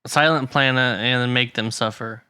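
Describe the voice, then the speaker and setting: A man's voice: medium pitch, fairly even intonation, normal speed, sharp tone, smooth, no echo, studio quality. Easygoing, joking podcast co-host, a man. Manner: joking, easygoing, trails off searching for names. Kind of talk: casual chat.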